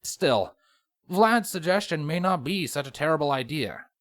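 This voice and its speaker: A man's voice: a little high, lively intonation, moderate pace, fairly bright tone. A man reading a story aloud as narrator, in a dramatic scripted style. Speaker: fan fiction narrator.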